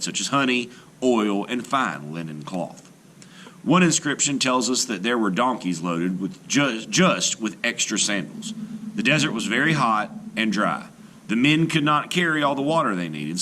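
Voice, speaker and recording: A man reading scripted narration like a teacher, measured and explanatory, for young listeners. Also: on the low side, bright, forceful tone, a little hiss or hum. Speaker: storyteller and teacher. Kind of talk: scripted read-aloud narration.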